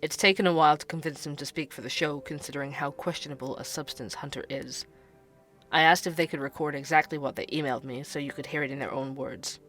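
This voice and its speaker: A woman's voice: sits low, steady tone, fast talker, a bit bright, faint music behind. A woman voicing a dogged, opinionated male podcast investigator who asks blunt, earnest questions. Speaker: podcast host. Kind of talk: audio drama acting.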